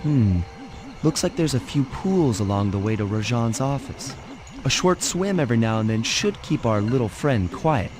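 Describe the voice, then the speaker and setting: An actor playing a cool, wisecracking young thief in a video game, with a breezy, wry, casual delivery. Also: male voice, medium pitch, expressive, moderate pace, over music, natural voice. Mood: casual, amused. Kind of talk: video game cutscene acting.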